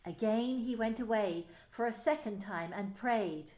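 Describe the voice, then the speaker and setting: A woman narrating a dramatised Bible story in scripted, expressive storytelling. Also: fairly high pitch, measured pace. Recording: echoey room with faint music.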